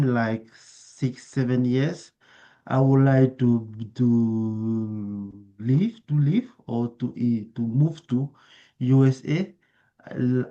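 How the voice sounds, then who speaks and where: A man's voice: medium pitch, dark tone, dull audio. A man speaking English with a strong foreign accent in an online meeting. He hesitates, restarts and searches for words. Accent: non-native English. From outside Canada, non-native English speaker. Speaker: meeting participant, English learner. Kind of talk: casual online meeting talk.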